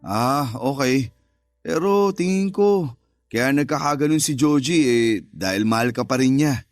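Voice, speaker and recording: An actor playing a firm but considerate older brother in a radio drama. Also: male voice, mid-range voice, expressive, a little slow, dry recording, studio quality. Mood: gentle, conciliatory. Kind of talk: Tagalog radio drama acting.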